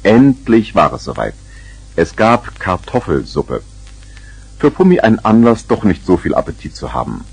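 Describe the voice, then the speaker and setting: Male narrator for 1970s children's audio drama, on the low side, expressive intonation. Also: normal speed, vocal fry, husky, poor audio. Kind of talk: scripted storytelling narration for a children's radio play.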